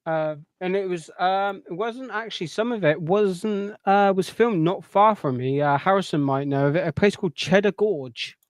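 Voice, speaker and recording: Higher-voiced man chatting, close dry sound. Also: moderate pace, hardly any pauses, clear, resonant tone, no echo. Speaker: podcast co-host. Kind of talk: casual film chat.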